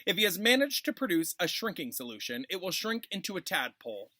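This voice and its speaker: A man voicing a cold, sneering, strict potions teacher with commanding, drawn-out emphasis in a storybook reading. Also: sits high, very animated, pushed and bright, no room sound, clean studio sound, voice pushed up, exaggerated voice. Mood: stern, commanding.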